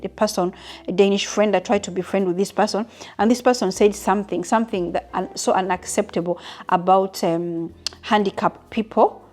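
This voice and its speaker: A woman's voice: mid-range voice, darkish tone. Chatty woman in her fifties, from Uganda. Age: 50s. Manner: chatty, frequent restarts and asides to viewers. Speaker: vlogger. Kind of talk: casual Q&A talking to viewers.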